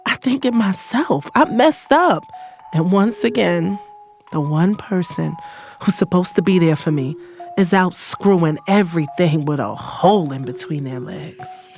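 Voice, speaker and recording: A woman's voice: on the low side, a little slow, a little mellow, faint music behind. A woman playing a hurt, scorned lover in an audio drama, telling it in first person with emphatic, sarcastic delivery. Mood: anguished. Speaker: narrator and lead character in an audio drama.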